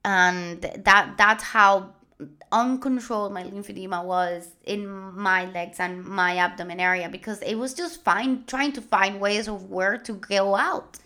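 A woman in her late twenties with a Puerto Rican accent, Spanish her first language, telling her own story earnestly with many pauses and restarts. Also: mid-range voice, bright, forceful tone. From Puerto Rico. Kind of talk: personal story told to followers.

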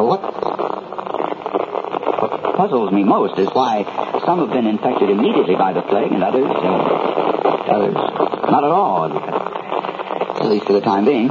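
A man's voice: on the low side, close to an everyday voice. Casting: male actor as anxious, faltering radio announcer in crisis, swooping intonation, thin phone-band vintage broadcast sound. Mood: bewildered, shaken. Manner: halting, stammering, anxious delivery. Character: shaken male radio newscaster, desperate and falling ill. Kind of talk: 1970s radio drama, live emergency broadcast. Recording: noisy, narrow phone-like old radio recording.

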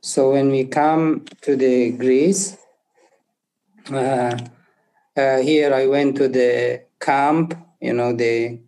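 A young man from Afghanistan, not an English speaker, telling his life story haltingly and searching for words. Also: mid-range voice, steady tone, mellow, poor audio. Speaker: interview guest. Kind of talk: personal testimony.

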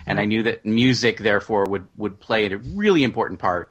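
A man speaking as a guest in a casual panel discussion, explaining things haltingly and repeating words. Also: fairly low pitch, fairly bright tone. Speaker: podcast guest, audio drama writer-producer.

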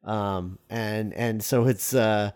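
A man hosting a podcast, talking casually about film in a halting, hesitant way. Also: fairly low pitch, fairly even intonation, a little mellow, smooth. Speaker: podcast host.

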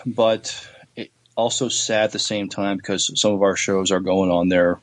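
A man co-hosting a podcast in casual chat, with a halting delivery that repeats words. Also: sits low, steady tone, slight background noise. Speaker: podcast co-host.

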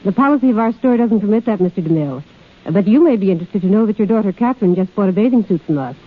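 A woman's voice: mid-range voice, lively intonation, racing, narrow, tinny audio. A woman guest on a 1930s radio show, formally reading a scripted talk and product endorsement. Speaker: shop hostess, guest giving a product endorsement. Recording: band-limited 1930s radio recording.

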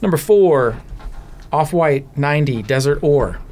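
Man, slightly higher pitch, enthusiastic, emphatic, casual podcast chat, background noise. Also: expressive, unhurried, darkish tone. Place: podcast studio.

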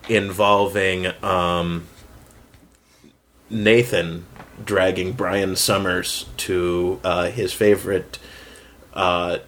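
A man chatting casually in a group, talking as a writer in a halting way, searching for words. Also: low voice, a bit bright. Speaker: writer and voice actor on a round-table.

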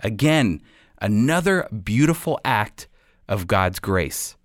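A man with an American accent hosting a radio program, teaching the Bible in an emphatic, deliberate way. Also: fairly low pitch, big pitch swings, no echo, studio quality.

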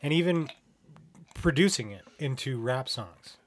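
A man's voice: mid-range voice, expressive, unhurried. A man hosting a podcast, asking casual interview questions. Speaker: podcast host.

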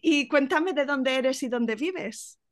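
A woman hosting an interview podcast, asking curious, gentle questions. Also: sits high, takes it slowly. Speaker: podcast host.

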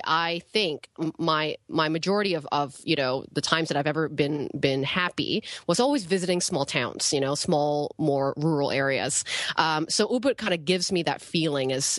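A woman's voice: deep voice, dry recording, clean studio sound. A woman from Malaysia and Canada, chatting casually as an interview guest over a video call, with lots of fillers and restarts. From born in Malaysia, raised in Canada. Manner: chatty, frequent fillers and restarts, laughs easily. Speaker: podcast guest, entrepreneur. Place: home cottage.

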